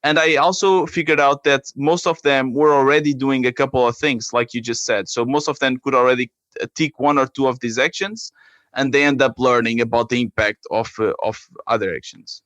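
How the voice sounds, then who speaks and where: A man from Portugal with a Portuguese accent, explaining his work haltingly as a guest in a conversational interview. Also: medium pitch, fairly even intonation, fairly bright tone, no room sound. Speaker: app creator, podcast guest.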